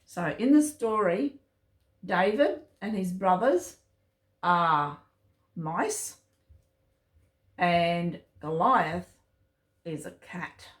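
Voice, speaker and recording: A woman hosting a children's storytime, reading aloud simply and deliberately and addressing young listeners directly. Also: lowish voice, animated. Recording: roomy, echoey home recording.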